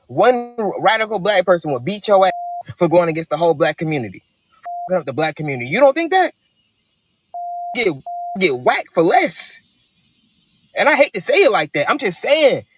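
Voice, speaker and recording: A man's voice: high voice, slight background noise, poor audio. A man in a played social media clip, delivering an angry, confrontational rant.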